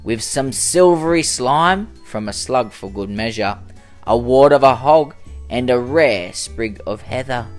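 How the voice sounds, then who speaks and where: A man narrating a rhyming children's storybook as host, in an expressive, dramatic storytelling manner. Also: medium pitch, unhurried, faint music behind. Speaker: storyteller, host of a children's read-aloud show.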